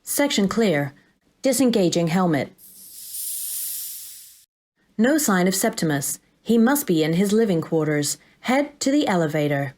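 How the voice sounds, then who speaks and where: A woman playing a calm, procedural AI assistant that guides a soldier through combat in a sci-fi audio drama. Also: fairly low pitch, measured pace, long pauses. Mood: brisk, helpful. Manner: clipped, procedural, instructive announcements.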